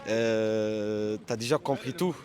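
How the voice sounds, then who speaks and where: Halting Arabic-speaking man, repeating himself. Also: on the low side, darkish tone. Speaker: street interviewee. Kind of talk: unscripted street debate.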